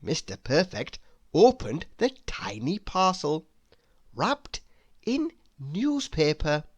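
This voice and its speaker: A woman narrating a children's storybook read-aloud in an expressive, deliberate storytelling manner. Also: low-pitched, slightly rough, no room sound.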